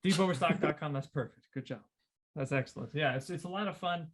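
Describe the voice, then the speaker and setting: A man's voice: on the high side, quick pace. A man talking casually on a podcast in an encouraging, agreeable way.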